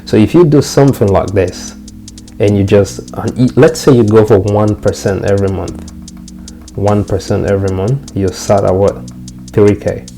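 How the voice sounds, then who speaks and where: Young male host from Cameroon, low and relaxed tone, casual repetitive walkthrough, faint music bed. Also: moderate pace. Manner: repetitive, restarts phrases, talks to viewers as "guys". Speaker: trading channel host.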